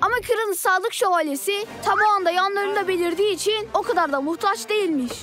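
An excitable little cartoon kid, pitched very high. The kid sounds cheeky and eager, with dramatic flair. Clear music runs behind the voice. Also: female voice, quick pace. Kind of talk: children's cartoon dubbing.

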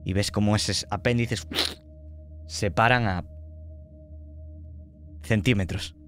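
A man with a Spanish accent narrating a tabletop horror game as game master, slow, descriptive and dramatic. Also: on the low side, fairly bright tone, faint music behind.

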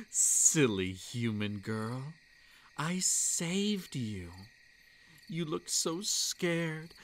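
A man voicing a furry male forest creature with a tail in an audio roleplay, talking in a slow, hushed, coaxing way. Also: medium pitch, a little hiss or hum.

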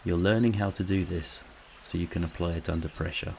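A man narrating a scripted guided meditation in a calm, soothing, measured way, with long pauses. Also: low voice, vocal fry, slight background noise.

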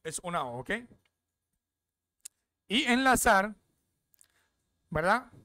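A young man chats his way through a screen-share tour of an app from a desk at home. His voice is smooth and clean, and it sounds dry and close. He keeps checking in with his viewers as he goes. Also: high-pitched, sing-song, drawn-out words, pushed and bright, dry recording. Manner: chatty, repeats "¿ok?" often. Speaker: crypto app reviewer. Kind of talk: casual screen-share walkthrough.

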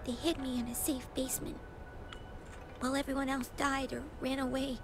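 An actress voicing a plucky, earnest little orphan girl in an anime video game, with a soft, girlish voice and simple childlike phrasing. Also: sits high, flat delivery, moderate pace, noisy background. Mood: sad, hushed. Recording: game music clearly behind voice.

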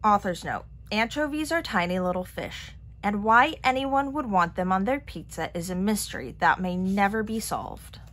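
A woman reading a children's picture book aloud in an expressive manner. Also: mid-range voice, moderate pace, few pauses. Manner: expressive, acting out characters' lines. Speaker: storybook reader.

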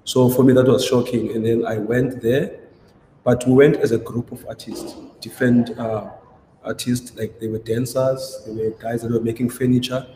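A man's voice: mid-range voice, level tone, normal speed, mellow. A man from South Africa, an artist, telling his story in an interview, hesitant with many restarts. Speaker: ceramic artist. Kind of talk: conversational interview. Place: gallery showroom, indoors. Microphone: video call. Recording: roomy echo with faint noise.

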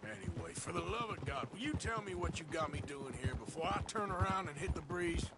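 A gruff cowboy with swooping pitch. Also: male voice, lively intonation, fairly quick. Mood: exasperated. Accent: American. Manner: blunt, drawling frontier speech. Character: gruff, bossy, world-weary male cowboy outlaw. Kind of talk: video game voice acting, Western dialogue. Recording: loud clicks and horse hoof noise.

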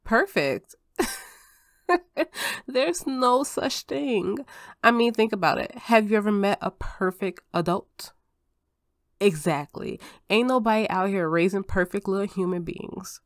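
Gentle, slow-talking woman acting as a reassuring spouse. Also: medium pitch, animated, dry recording, studio quality. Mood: warm, encouraging. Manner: gentle, soothing, tender. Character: affectionate, reassuring spouse and step-parent comforting partner. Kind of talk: ASMR roleplay acting.

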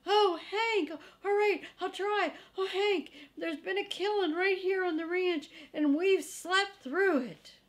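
A woman reading a storybook, voicing an excitable, whiny little male dog who squeals and pleads frantically. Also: slow pace, few pauses. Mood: panicked, pleading. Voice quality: high-pitched squealing.

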